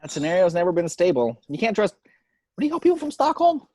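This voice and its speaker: Woman as a wry office guy, lively pitch. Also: fast talker, dry recording. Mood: wry banter. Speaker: table read host and actor. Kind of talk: amateur screenplay table read. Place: at home, remote table read.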